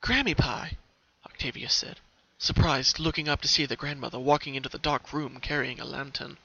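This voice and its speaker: A man's voice: medium pitch, steady tone, a little fast, creaky, sharp tone. A man reading a story aloud as narrator, in a dramatic style. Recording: dull, muffled home recording.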